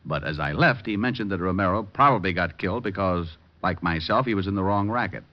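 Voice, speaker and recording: An actor playing a cool, wisecracking private detective in old-time radio style, wry and clipped. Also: male voice, low voice, a little fast, natural voice. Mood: reasoning, brisk. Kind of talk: 1940s-50s radio acting. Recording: dull vintage radio recording.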